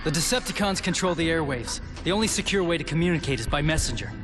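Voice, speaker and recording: A man's voice: fairly high pitch, lively intonation, quick pace, close to an everyday voice. An actor playing a young male robot messenger, earnest and brave, in a video game cutscene. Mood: urgent. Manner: urgent, earnest. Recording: game music behind.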